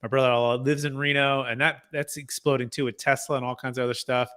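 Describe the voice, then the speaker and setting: Podcast host guy, fast talking, bright sharp voice, encouraging and upbeat. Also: mid-range voice, flat delivery, smooth. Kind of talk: podcast interview.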